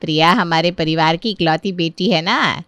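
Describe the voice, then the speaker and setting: Woman doing a worried middle aged indian-story mom of the bride, soft mannered, dry sound. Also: lowish voice, normal speed, no echo, lightly acted. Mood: apologetic, hospitable. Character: anxious, gentle middle-aged mother of the bride.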